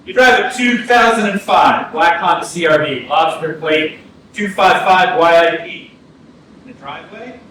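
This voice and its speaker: An actor playing a blunt, seasoned police detective in a staged reading, speaking sternly and deliberately with some swearing. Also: male voice, on the high side, sharp tone. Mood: pressing. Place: indoor arts centre stage, staged reading. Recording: echoey theatre from far off, noisy.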